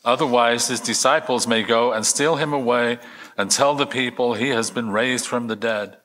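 A scornful, accusing chief priest read by a man in a church scripture reading. The voice is medium in pitch with a bright, forceful edge. It declaims into a long hall reverb. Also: moderate pace, lightly acted. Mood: formal, accusing. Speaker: reader of the chief priests' part.

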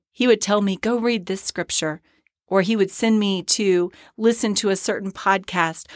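A woman hosting a devotional podcast, earnest and encouraging, her voice hoarse and scratchy from a cold. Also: medium pitch, no echo, clean studio sound. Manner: earnest and encouraging, with short breathy laughs. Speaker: podcast host and ministry founder. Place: podcast studio.

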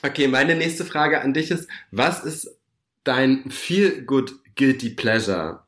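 A man's voice: mid-range voice, lively intonation, slow pace, a bit bright, echoey room. A man co-hosting a casual chat podcast, talking chattily and mocking himself a little while recovering from a cold. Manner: chatty, self-mocking, mixes in English words. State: has a cold. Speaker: podcast co-host.

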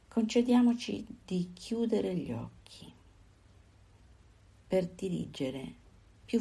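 A woman guiding a body scan meditation, giving calm, unhurried instructions in short phrases with pauses. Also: on the low side, breathy edge. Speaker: meditation guide.

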